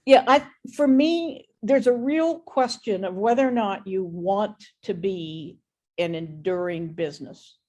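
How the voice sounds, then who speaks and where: A woman's voice: mid-range voice, darkish tone. A woman speaking frankly and with firm opinions in a conversational business talk over an online meeting, as a guest expert.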